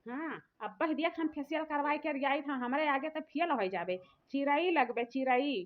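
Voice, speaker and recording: A woman's voice: sits high, normal speed, lightly acted. A woman voicing an aggrieved, vain old village mother-in-law in a comic cartoon, complaining with an Awadhi accent. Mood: indignant, boastful. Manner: complaining, lamenting. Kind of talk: rustic comic cartoon dialogue. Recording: muffled, phone-like narrow sound.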